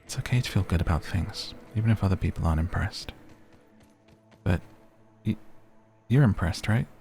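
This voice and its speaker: A soft-spoken man giving gentle, chatty, relaxed ASMR commentary over a game, as the host of the video. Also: sits low, moderate pace, vocal fry. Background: game music.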